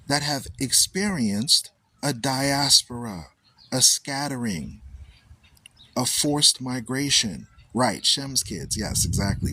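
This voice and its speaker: Male talk host, husky tone, animated preacher-style delivery. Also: mid-range voice. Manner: animated storytelling, repeats "right?" often. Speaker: radio show host.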